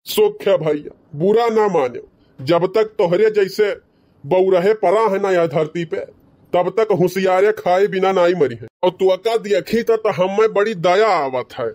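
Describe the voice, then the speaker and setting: A man's voice: high-pitched, unhurried, a little mellow. A man voicing a bickering, boastful village man in a comedy cartoon, with a rustic Awadhi accent from eastern Uttar Pradesh. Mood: comic bantering. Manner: colloquial rustic banter.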